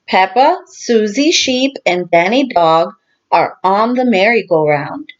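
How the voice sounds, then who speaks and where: A woman teacher reading a storybook aloud and talking simply and deliberately to young children. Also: mid-range voice, expressive, dull audio. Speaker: teacher reading to her class.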